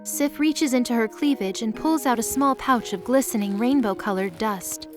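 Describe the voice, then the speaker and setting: A woman's voice: fairly high pitch, normal speed, music in the background. A woman reading scripted story narration as the narrator of an audio drama.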